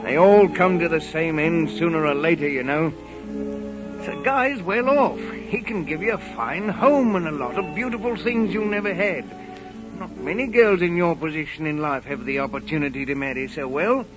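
Actor as fretful old-radio innkeeper father, highish voice. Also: moderate pace, over music, lightly acted. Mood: worried, pleading. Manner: fretful, chatty. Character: worried, talkative innkeeper, father of a headstrong daughter. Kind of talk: 1940s radio drama acting. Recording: dull old 1940s radio recording.

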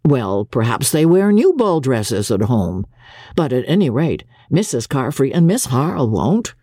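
A woman reading the part of a mild young gentleman husband in a classic novel, easygoing and gently reassuring. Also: a bass voice, big pitch swings, measured pace, slightly rough, no room sound, studio quality, heavily acted.

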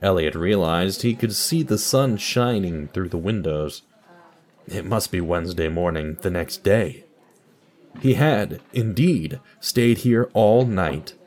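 A man's voice: low voice, takes it slowly, darkish tone. A man delivering scripted narration and announcements for an audio drama.

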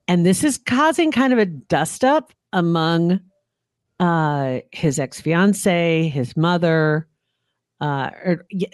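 Podcast co-host, chatty, laughs a lot, mid pitch, dry mic. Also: no room sound. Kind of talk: casual podcast chat.

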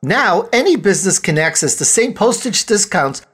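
A man's voice: high voice, very animated, normal speed, fairly bright tone, slightly rough. A man hosting a show, reading scripted announcements and sponsor copy addressed directly to listeners. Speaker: show host. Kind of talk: scripted host announcement and ad read.

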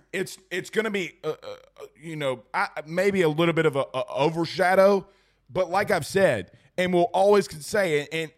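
A young man hosting a post-game sports livestream, hoarse and losing his voice, excitable and rambling as he reads viewer messages. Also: sits high. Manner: excitable, rambling, repeats himself. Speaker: sports talk show host. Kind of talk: excited post-game livestream chat reading super chats.

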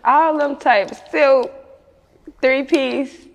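Slow-talking Chicago woman, reverberant room. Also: sits high, steady tone, gravelly, dull audio. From Chicago, United States. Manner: candid, slangy, often chuckling. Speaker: interview guest, rapper. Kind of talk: casual interview chat.

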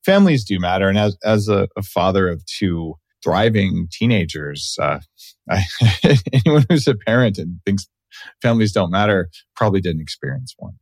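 Middle-aged male host, lowish voice, dry close sound, jokey and enthusiastic. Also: animated, normal speed, no room sound. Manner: jokey, enthusiastic, laughs while talking. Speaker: podcast host. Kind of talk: casual interview chat.

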